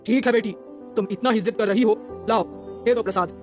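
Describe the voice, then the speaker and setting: A woman's voice: a little high, fairly even intonation, over music, close to an everyday voice. Woman playing a kindly, solemn wandering holy man. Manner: benevolent, measured, blessing. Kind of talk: Hindi cartoon moral-story dialogue.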